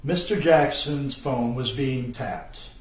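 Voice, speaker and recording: A man reads out a formal statement in a mid-range voice. His delivery is solemn and measured, with slow, deliberate pacing. The room around him echoes clearly. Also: medium pitch. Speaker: self-described whistleblower. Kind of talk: scripted video statement.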